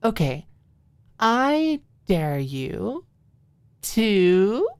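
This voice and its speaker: A woman's voice: mid-range voice, sing-song, drawn-out words, darkish tone, smooth, no room sound, pitched above the performer's own voice. A woman voicing a bubbly, tipsy, teasing young woman in audiobook dialogue, with a giggly, playful manner. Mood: playful, daring.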